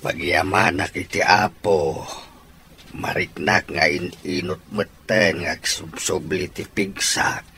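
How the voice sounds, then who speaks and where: Slow gravelly old Ilocano father. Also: low-pitched, fairly even intonation, sharp tone, a little hiss or hum, exaggerated voice. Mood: weak, glad. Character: ailing, grateful old father, gentle and fond. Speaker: radio drama actor. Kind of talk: Ilocano radio drama acting.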